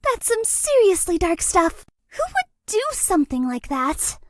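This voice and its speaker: A woman voicing a bubbly, shy, lovesick ghost girl in a dating game, with a girlish, breathy, cutesy voice. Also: sing-song, measured pace, dry recording, full character voice. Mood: troubled, comic. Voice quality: very high, girlish voice. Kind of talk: anime-style dating game character lines.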